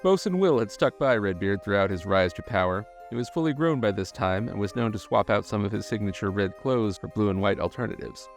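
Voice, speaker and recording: A man reading scripted documentary-style narration in a measured, articulate storytelling manner. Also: fairly low pitch. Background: background music.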